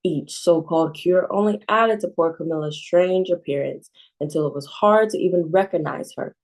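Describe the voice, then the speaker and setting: A woman librarian reads a children's picture book aloud, calm and expressive. Her voice sits low and sounds soft and mellow. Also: moderate pace.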